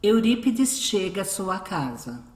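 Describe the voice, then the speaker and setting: A woman's voice: medium pitch, very animated, slow pace, a little mellow. A Brazilian woman reading scripted narration for a radio drama. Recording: roomy echo.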